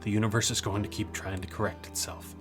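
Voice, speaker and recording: Man as an aloof, low-voiced Grim Reaper over music. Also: flat delivery, quick pace, lightly acted. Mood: subdued. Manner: terse, detached, matter-of-fact. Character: aloof male Grim Reaper, detached, quietly protective. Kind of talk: scripted audio drama acting.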